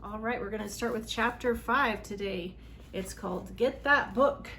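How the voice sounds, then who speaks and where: Woman doing storytime for kids, highish voice, expressive and dramatic, bit of background noise. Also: moderate pace. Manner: expressive, dramatic emphasis on sound words. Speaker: children's library read-aloud host. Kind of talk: animated storybook read-aloud for children.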